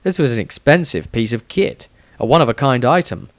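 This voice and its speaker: A man's voice: medium pitch, lively intonation, a little fast, no room sound. A man reading a scripted fantasy adventure aloud as a storyteller, with dramatic emphasis. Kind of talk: scripted audiobook narration.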